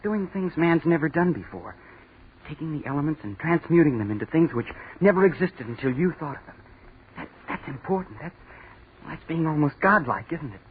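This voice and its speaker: An actor playing an earnest, awkward young chemistry student in a 1940s radio drama, over-polite and halting, with an intense streak. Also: male voice, on the high side, animated, natural voice. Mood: rapt, intense. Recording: tinny, noisy 1940s radio recording.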